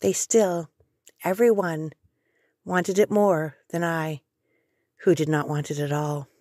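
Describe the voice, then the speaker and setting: A woman poet reciting her poem in a slow, deliberate way. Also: low-pitched, steady tone, dark tone, no echo, studio quality. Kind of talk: poetry reading.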